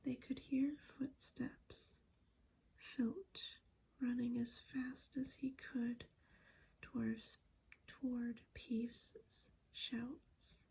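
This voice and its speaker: Whispering woman reader, slow, dark, husky, steady tone. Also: a little high, poor audio. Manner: breathy whisper throughout. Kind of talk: whispered storybook reading.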